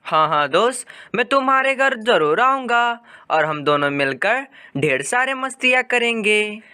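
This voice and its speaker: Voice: medium pitch, lively intonation, a little slow, sharp tone, smooth, voice pushed up. Cartoon boy bird, voiced by the narrator: young, humble, wistful, clean tone. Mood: eager.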